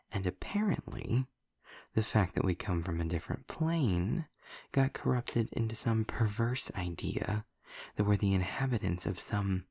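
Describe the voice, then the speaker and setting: A man playing a sarcastic, articulate demon in an audio roleplay, with a gravelly, breathy voice and wry, formal delivery. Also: fairly low pitch, dry recording, clean studio sound, full character voice. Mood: testy, disdainful. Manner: wry, formal wording, deliberate pauses. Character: sarcastic, snarky male demon, articulate and testy. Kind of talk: scripted audio roleplay monologue addressed to the listener.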